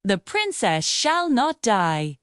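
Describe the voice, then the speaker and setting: Woman voicing a high, hopeful good fairy. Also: huge pitch swings, slow pace, fairly bright tone, no room sound, clean studio sound, heavily acted. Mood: solemn hope. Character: kind, hopeful good fairy, female, solemn.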